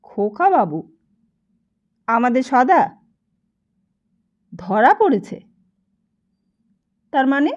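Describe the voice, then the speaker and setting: A woman's voice: high voice, very animated, a little mellow, dull audio, exaggerated voice. A woman reading the part of a stingy, suspicious village landlord who gives orders and fires off questions in an audiobook. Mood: alarmed. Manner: peremptory, rapid-fire questioning.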